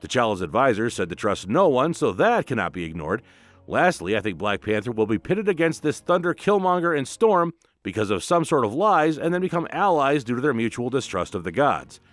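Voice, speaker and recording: A man's voice: medium pitch, big pitch swings, brisk, no echo. A man narrating a scripted comic book recap with informal commentary in a dramatic, emphatic way.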